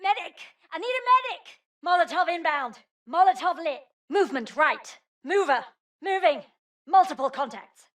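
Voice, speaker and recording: A woman's voice: very high voice, lively intonation, moderate pace. An actress playing an urgent woman soldier in a video game, giving terse combat callouts. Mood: urgent, alarmed.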